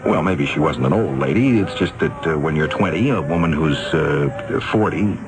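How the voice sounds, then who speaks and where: An actor playing a troubled, lonely scientist, hesitant and brooding, in a 1970s radio drama. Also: male voice, extremely low pitch, animated, moderate pace. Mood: reminiscing. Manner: hesitant, brooding, searching his memory. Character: troubled, lonely, self-doubting male scientist. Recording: vintage radio drama with music behind.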